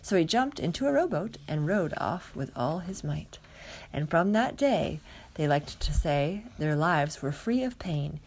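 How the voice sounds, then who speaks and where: Woman, storybook read-aloud, dramatic and lively pitch swings, some background noise. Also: measured pace. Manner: dramatic, animated delivery. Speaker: storybook reader. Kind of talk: expressive read-aloud of rhyming picture book.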